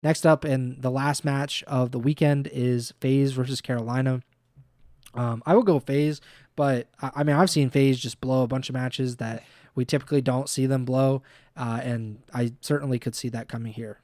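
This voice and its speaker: A man co-hosting a livestreamed podcast in casual chat, easygoing and filling pauses with uh and um. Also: mid-range voice, fairly even intonation, a little mellow, dry recording, studio quality. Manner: easygoing, many uh and um fillers. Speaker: podcast co-host.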